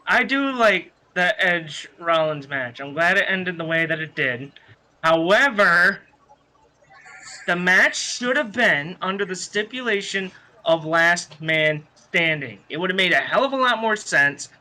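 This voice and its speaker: A man's voice: high-pitched, animated, a little slow, pushed and bright, rough recording. A man from the United States co-hosting a wrestling podcast, chatting in a brash, sweary, argumentative way. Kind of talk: casual heated panel chat.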